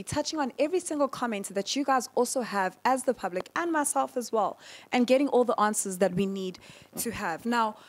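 Measured woman interviewer. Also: medium pitch. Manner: measured, with frequent soft backchannel hums. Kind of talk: hosted interview.

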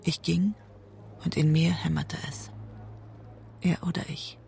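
An actress playing a haunted woman looking back on her marriage, husky and breathy, in grave, restrained audio drama narration. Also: low-pitched, level tone, slow pace, a little mellow, exaggerated voice. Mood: haunted, tense. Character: haunted woman writer recalling a destructive marriage. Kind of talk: literary first-person audio drama narration.

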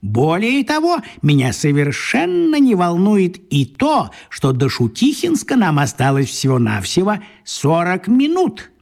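An actor playing a small, crafty old wizard in a children's radio play, talking in a coaxing, folksy way with a husky voice. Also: male voice, high-pitched, huge pitch swings, a little slow, few pauses, darkish tone, heavily acted.

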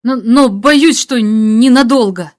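A woman's voice: fairly high pitch, normal speed, close to an everyday voice. A woman voicing a hunted, exhausted young man in an audiobook, tense and hesitant, anxious but brave. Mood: frightened, stammering. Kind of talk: audiobook character dialogue.

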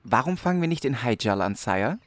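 A polite young hero, played by a man, mid-pitched with lively rises and falls. He is earnest and questioning, in a dry-sounding fantasy parody radio play. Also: a little fast, no room sound, natural voice. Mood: polite, curious. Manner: polite, inquisitive. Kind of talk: comedic fantasy audio drama parody.

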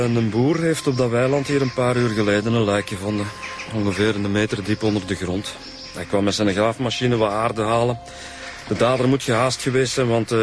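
An actor with a Flemish accent playing a matter-of-fact homicide detective in a naturalistic radio drama, reporting with small hesitations. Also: male voice, on the low side, close to an everyday voice. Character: male homicide detective, matter-of-fact, briefing his colleagues. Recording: music behind the voice.

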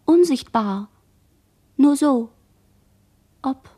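A woman playing a dazed, barely conscious girl who survived a shipwreck, speaking halting fragments in a radio play monologue. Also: high voice, lively intonation, mellow, heavily acted. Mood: trance-like. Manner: fragmented, halting word chains.

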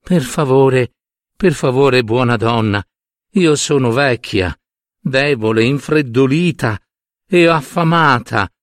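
A man voicing a frail, sick old beggar woman who pleads slowly in a weak, chilling voice. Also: mid-range voice, dry recording, clean studio sound, lightly acted. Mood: pleading, weak. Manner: slow, imploring.

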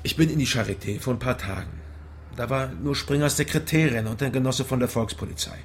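An actor playing a haunted, hard-drinking East German private detective in a radio drama, halting, weary and brooding. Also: male voice, mid-range voice, slight background noise, lightly acted. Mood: anxious, defensive. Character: haunted male East Berlin private detective, ex-border soldier, heavy drinker. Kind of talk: radio drama dialogue and brooding first-person narration. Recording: music behind the voice.

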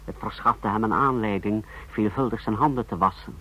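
Sharp, husky, ruthless henchman. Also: lowish voice, moderate pace. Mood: dutiful. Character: loyal, ruthless male secret-service subordinate reporting to his leader. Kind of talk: mid-century European radio drama acting. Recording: dull, lo-fi old radio recording.

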